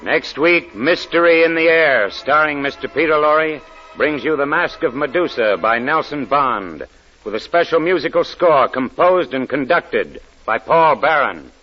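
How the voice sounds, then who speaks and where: A man's voice: on the high side, lively intonation, measured pace, faint music behind. A man making a scripted program announcement in 1940s radio style. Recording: muffled vintage radio broadcast.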